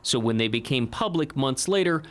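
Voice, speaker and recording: A man's voice: mid-range voice, lively intonation, normal speed, fairly bright tone, smooth. An American man reading a scripted investigative television news report as the reporter.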